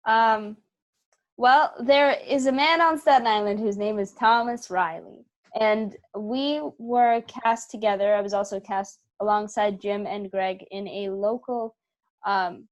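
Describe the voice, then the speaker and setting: A woman's voice: mid-range voice, fairly even intonation, takes it slowly, lo-fi sound. A woman chatting as an interview guest, thinking aloud and searching for her words. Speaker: artistic director, interview guest. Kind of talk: casual interview chat.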